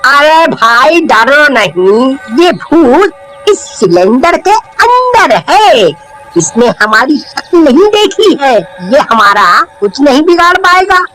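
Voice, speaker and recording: A man playing an excitable village tea seller in a cartoon story, theatrical, exclaiming and repeating his words. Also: very high voice, slow, exaggerated voice, poor audio. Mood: reassuring.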